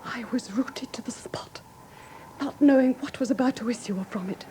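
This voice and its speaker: A woman's voice: on the high side, normal speed, dark tone, slight background noise, natural voice. An actress playing a refined, anxious young woman in a classic radio drama, with a Received Pronunciation accent and genteel, earnest, precise diction. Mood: haunted, tense. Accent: English Received Pronunciation. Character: refined, anxious young woman recounting her sister's death.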